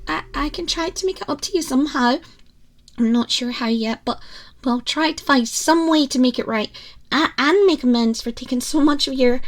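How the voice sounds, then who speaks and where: Woman doing a little furry haggis girl, asmr roleplay, really high voice, slow n hesitant, anxious, stammery, always apologising. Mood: apologetic, flustered. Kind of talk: ASMR roleplay acting, addressing the listener.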